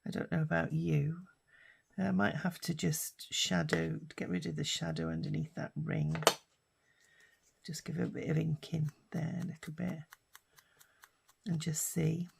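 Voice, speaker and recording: An older British woman with a husky, hoarse voice from a lingering cough, chatting casually while she crafts. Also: deep voice, flat delivery, measured pace, long pauses, a little mellow, slight background noise. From United Kingdom. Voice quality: husky, raspy. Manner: rambling and chatty, with many fillers. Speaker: craft vlogger.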